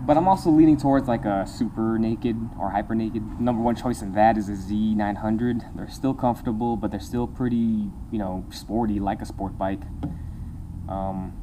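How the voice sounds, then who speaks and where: A man's voice: on the low side, moderate pace, noisy background. A young man chatting casually in a motorcycle vlog, rambling and laughing often, recorded on a small camera in an open-air park shelter. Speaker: motovlogger. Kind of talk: casual talking-head vlog. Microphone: compact camera on a table nearby.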